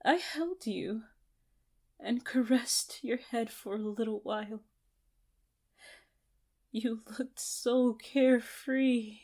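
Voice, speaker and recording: Casting: actress as obsessive female captor for ASMR roleplay. High voice, slow theatrical delivery, gloating and unhinged. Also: dark tone. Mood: gloating, sweet. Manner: deliberate, theatrical, broken by giggles.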